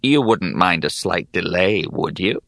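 Ancient, creaky, drawling hag. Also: male voice, low-pitched, expressive, unhurried, a bit bright, slight background noise, exaggerated voice. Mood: bored, weary. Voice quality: creaky, gravelly. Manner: languid, drawling, mock-polite. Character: ancient sly yawning hag, coolly dismissive. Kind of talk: audiobook character acting.

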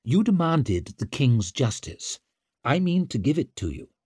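A man voicing a sarcastic, exasperated king in an audiobook, with an incredulous, sharp-tongued manner. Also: mid-range voice, big pitch swings, measured pace, breathy edge, dry recording, studio quality, voice pushed up. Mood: scornful. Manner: incredulous, sardonic.

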